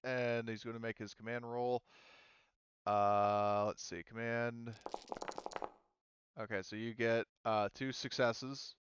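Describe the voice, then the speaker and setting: A man running a tabletop roleplaying game as game master, explaining rules and thinking aloud in a halting way. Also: mid-range voice, little pitch movement, clear, resonant tone, no room sound, highs cut off.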